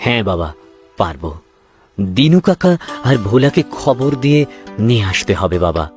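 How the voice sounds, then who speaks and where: A man's voice: mid-range voice, sing-song, moderate pace, a little mellow, soft background music, natural voice. A man voicing a young, sly and cocky sweet-shop owner in a cartoon. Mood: remorseful. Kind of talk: cartoon voice acting.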